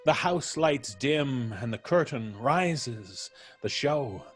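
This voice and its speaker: A man playing an ominous horror show narrator, speaking in a dramatic, measured and grandiose way over a scripted introduction. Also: mid-range voice, animated, soft background music, pitched above the performer's own voice.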